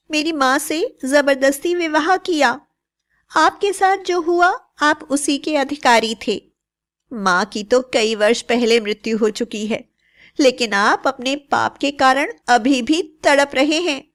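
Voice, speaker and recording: A woman's voice: sits high, slow, a bit bright. A woman playing a devout, fearless young bride in a cartoon fairy tale, speaking in an earnest, declamatory way. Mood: accusing.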